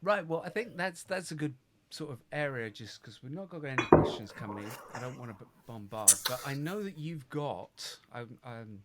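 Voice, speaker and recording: A man hosting a casual live video chat, easygoing and quick to laugh, letting his sentences trail off. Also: medium pitch, animated, very noisy. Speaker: host of a live hairdressing stream.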